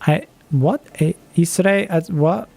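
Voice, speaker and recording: A man's voice: on the high side, takes it slowly, creaky, a little mellow, slightly rough, soft background music. A man in his twenties chatting casually on a live game stream, reading chat and laughing often. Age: 20s.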